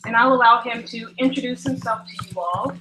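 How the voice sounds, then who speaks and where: A woman speaks earnestly and from the heart to an event audience, leaning in toward a stand microphone. The room is echoey, and clear background noise runs under her voice. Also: a little high, fairly quick. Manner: earnest, heartfelt, speaks softer in sad passages. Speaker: volunteer introducing a speaker. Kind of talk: public talk to an audience.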